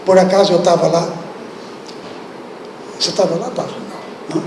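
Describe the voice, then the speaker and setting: A man preaching a sermon with a Brazilian accent, lively and talking straight to the congregation, asking them questions. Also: high-pitched, normal speed, creaky, noisy background. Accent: Brazilian Portuguese. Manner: animated, acts out biblical speech, asks the congregation questions. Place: church hall. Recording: echoey hall, distant room.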